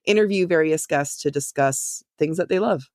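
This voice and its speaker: A woman's voice: low-pitched, normal speed, a little mellow, dry recording, clean studio sound. A woman hosting a podcast in casual chat, giggly and rambling, often interrupting her own sentences. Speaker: podcast host.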